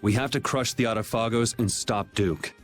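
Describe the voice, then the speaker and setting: A man's voice: medium pitch, moderate pace, soft background music, natural voice. A man playing a cool, laid-back but resolute young hero in an English anime game dub.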